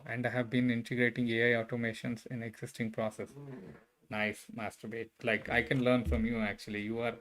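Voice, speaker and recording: Soft-spoken man chatting on a live stream. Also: lowish voice. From Bangalore, India. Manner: hesitant, many fillers and restarts, friendly. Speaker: livestreaming software engineer. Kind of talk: casual live-stream chat with viewers. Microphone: desk mic set a bit far.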